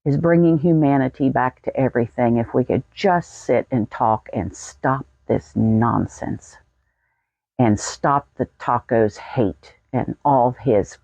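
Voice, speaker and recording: A woman's voice: very deep voice, slow, creaky, dark tone, dry recording, highs cut off. An American woman in her sixties telling a personal story in a folksy, plain-spoken way. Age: 60s. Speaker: vlogger.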